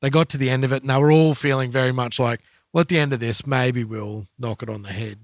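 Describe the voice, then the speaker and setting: Measured man chatting about music. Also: mid-range voice, dry recording, clean studio sound. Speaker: podcast co-host. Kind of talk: casual music discussion.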